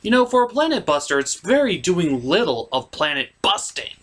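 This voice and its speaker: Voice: mid-range voice, big pitch swings, moderate pace, fairly bright tone. A reviewer delivering a scripted comedic review in a sardonic, emphatic way, as the host of the show.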